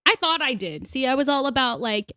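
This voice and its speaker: A woman's voice: high-pitched, animated, brisk, bright, forceful tone, no echo, clean studio sound. A young woman co-hosting a casual podcast, rambling with lots of fillers and frequent laughs. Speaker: podcast co-host.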